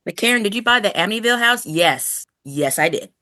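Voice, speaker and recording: A woman's voice: mid-range voice, lively intonation, fairly quick, bright, forceful tone. A woman co-hosting a podcast in casual, playful chat, animatedly acting out imagined exchanges. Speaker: podcast co-host.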